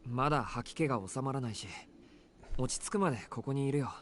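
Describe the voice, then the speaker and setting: An actor voicing a calm, blunt teenage boy protagonist, speaking in a dry, matter-of-fact way. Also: medium pitch, racing, slight background noise, natural voice. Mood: flat. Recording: game audio.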